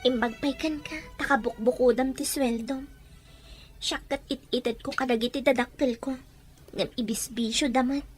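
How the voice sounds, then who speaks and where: A woman's voice: sits high, steady tone, normal speed, faint music behind, natural voice. A woman with an Ilocano accent playing an earnest, aggrieved working daughter in an audio drama. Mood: subdued, troubled. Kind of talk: Ilocano radio-style drama acting.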